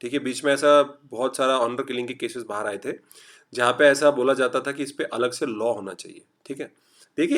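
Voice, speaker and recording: A man from Maharashtra with a slight Marathi accent, teaching a live online class in a chatty style and mixing in English. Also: medium pitch. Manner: chatty, reads out students' typed replies; urges quick answers, mixes English. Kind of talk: online lecture.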